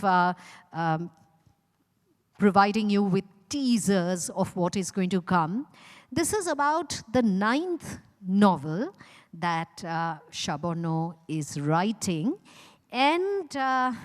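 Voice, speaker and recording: A woman with an Indian accent introduces an author as host of a literary event, speaking in a measured, deliberate way with frequent pauses. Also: medium pitch. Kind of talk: semi-formal spoken introduction at a literary event. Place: large indoor event hall. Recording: echoey hall with long reverb.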